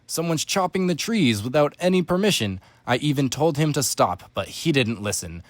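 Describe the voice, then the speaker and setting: A man's voice: a little high, lively intonation, moderate pace, no echo, voice pushed up, lightly acted. A man voicing an earnest, pleading young treehopper insect in a rhyming children's storybook.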